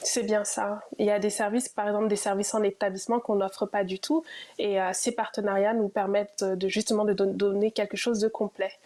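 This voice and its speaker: A woman's voice: medium pitch, little pitch movement, brisk, mellow. A woman, herself an immigrant, answering interview questions about her program with frequent hesitations. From immigrated to Ontario. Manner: hesitant, many fillers.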